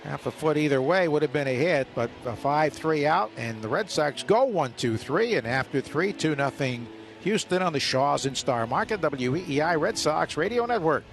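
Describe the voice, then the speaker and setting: A man's voice: on the high side, moderate pace, vocal fry, few pauses. A man calling a baseball game live on the radio as the play-by-play announcer. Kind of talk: live radio sports commentary. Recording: steady stadium ambience behind live broadcast. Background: ballpark ambience.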